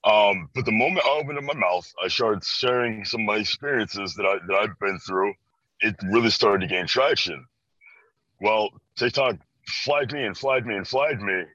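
A man's voice: lowish voice, moderate pace, pushed and bright, no echo. A man in his early twenties from Chicago with an American accent, chatting casually as an interview guest. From Chicago, USA. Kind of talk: casual chat. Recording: dry, slightly dull band-limited audio.

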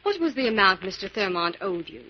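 An actress playing a plucky, inquisitive American detective's partner in 1940s radio style, eager and earnest, on a muffled old recording. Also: female voice, medium pitch, sing-song, a little fast, a little hiss or hum, close to an everyday voice. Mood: polite.

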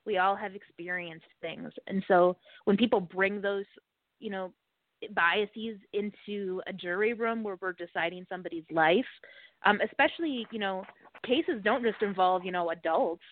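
A woman speaking as an interview guest, giving conversational commentary in an earnest, halting way. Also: mid-range voice, no room sound. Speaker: podcast co-host as interview guest. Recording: dry, narrow phone-line sound.

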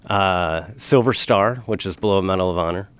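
A middle-aged man with a lowish voice speaks in relaxed podcast conversation. He is earnest and emphatic, leaning into his convictions. The sound is dry and close. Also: normal speed, clean tone, dry recording.

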